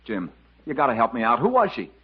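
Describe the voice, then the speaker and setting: An actor playing a cocky, pushy charmer of a famous dancer in a 1950s radio drama, breezy and insistent. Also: male voice, medium pitch, wildly swooping pitch, fast, breathy edge, full character voice. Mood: groggy, insistent. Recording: dull, lo-fi 1950s radio broadcast.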